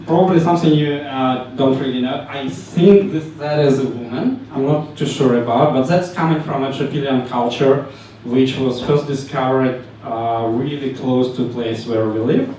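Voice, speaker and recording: A man from Ukraine with a Ukrainian accent presents to a live meetup crowd, pausing on fillers and dropping dry jokes. He is picked up from well across a very echoey room, with a faint hum of ambience underneath. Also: mid-range voice, a little mellow, far from the mic. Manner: halting, frequent fillers, joking asides. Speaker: meetup speaker.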